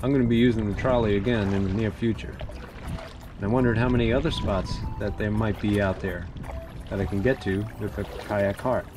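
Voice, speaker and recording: A man narrating his kayak fishing day in a relaxed, reflective voice-over. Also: fairly low pitch, brisk. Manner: easygoing, reflective. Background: music.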